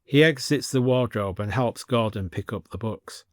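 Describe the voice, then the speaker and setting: A man's voice: mid-range voice, moderate pace, no echo, clean studio sound. A man narrating a scripted story as a storyteller.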